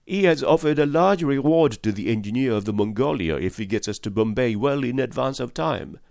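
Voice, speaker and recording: A man reading an audiobook voices an excitable, voluble French manservant who talks fast and stubbornly. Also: medium pitch, creaky, no room sound, studio quality, voice pushed up. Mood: confiding.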